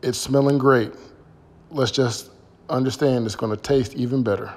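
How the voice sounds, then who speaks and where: A man's voice: medium pitch, fairly even intonation, moderate pace, a little mellow, big-room sound. A middle-aged American man casually explaining a recipe as an easygoing home-cooking host, recorded on a phone in a kitchen. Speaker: home cook hosting a cooking vlog. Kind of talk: casual recipe walkthrough to camera. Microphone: phone at arm's length.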